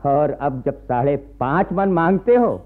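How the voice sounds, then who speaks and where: Downtrodden peasant, slow delivery, bitter pleading tone, very muffled narrow radio play sound. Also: male voice, fairly high pitch, lively intonation. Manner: pleading, aggrieved, weary sarcasm. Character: poor, burdened, bitterly resigned male peasant farmer. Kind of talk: Hindi radio play acting. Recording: very muffled, narrow-band archival radio recording.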